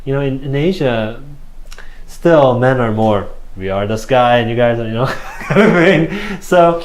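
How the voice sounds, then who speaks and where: A man in his thirties from South Korea, speaking English with a Korean accent as an interview guest, halting with many fillers and restarts. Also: mid-range voice, animated. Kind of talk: casual interview answers. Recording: roomy echo.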